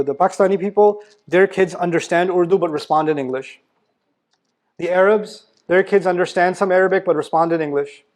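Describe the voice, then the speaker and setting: Guy preaching to young people, highish voice, pushy and emphatic, kinda teasing them. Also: darkish tone. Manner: emphatic, exhorting, rhetorical questions; blunt and teasing with the audience. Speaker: Islamic lecturer. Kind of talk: motivational religious lecture.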